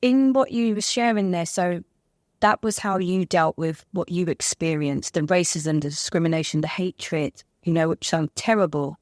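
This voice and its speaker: A woman's voice: lowish voice, normal speed, dry recording, clean studio sound. A woman hosting a podcast interview, affirming her guest and building long, searching questions. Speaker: podcast host.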